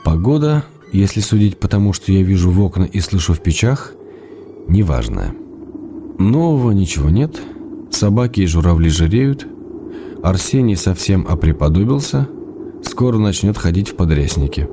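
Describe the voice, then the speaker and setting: A man's voice: low voice, very animated, normal speed, noisy background. An actor playing an ailing middle-aged writer, an ironic and tender husband reading his letters in a radio drama. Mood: ironic, chatty. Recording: music playing behind the voice.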